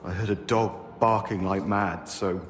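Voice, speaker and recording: Evasive grumbler for a game cutscene, male, actor-played: lowish voice, weary and defensive, long room echo. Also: steady tone, unhurried, natural voice. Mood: defensive, grudging. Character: grumpy, evasive man hiding from questioners. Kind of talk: video game cutscene acting. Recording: echoey stone-room reverb, music behind.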